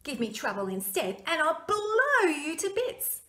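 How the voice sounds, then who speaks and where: Storybook young male dragon , female reader: small, eager, defiant, very animated delivery. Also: very high-pitched, measured pace, voice pushed up, exaggerated voice. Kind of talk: children's storybook read-aloud. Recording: roomy echo.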